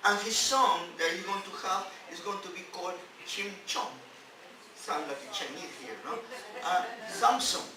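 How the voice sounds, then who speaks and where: A man who is a non-native English speaker, a rabbi giving an emphatic sermon in halting phrases with repeats and rhetorical questions. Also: high voice. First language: not English. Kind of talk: sermon on scripture. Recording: distant, very echoey, noisy room recording.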